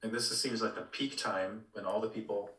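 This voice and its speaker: A man co-hosting a casual discussion in a halting way, repeating words as he thinks. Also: fairly low pitch, steady tone, a bit bright. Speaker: podcast co-host. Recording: roomy echo, distant from microphone.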